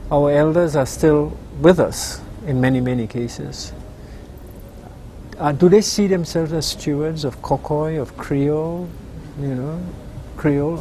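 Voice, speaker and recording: Older host, mellow and measured, probing questions, faint room tone. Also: male voice, fairly high pitch, a little hiss or hum, low-quality recording. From Dominica. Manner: measured, probing, with frequent backchannel. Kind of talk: interview questions.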